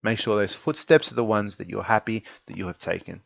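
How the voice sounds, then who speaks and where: A man's voice: lowish voice, lively intonation, fairly quick, vocal fry, dry recording, dull audio. An Australian man of twenty-nine giving an informal opinion monologue, earnest and emphatic, repeating phrases for stress. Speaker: esports content creator giving an opinion piece.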